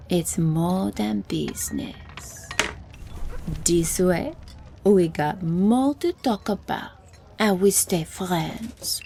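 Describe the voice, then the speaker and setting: A woman playing a streetwise, superstitious Cajun bayou woman in an audio drama, with slow, incantatory storytelling and quiet menace. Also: medium pitch, gravelly, slight background noise, exaggerated voice. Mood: cool, insistent. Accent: Louisiana Cajun.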